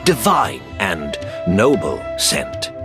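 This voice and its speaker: A man playing a courteous, genial mentor in a video game, speaking in a polite, well-spoken, measured way. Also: sits low, huge pitch swings, music in the background, exaggerated voice. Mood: explaining.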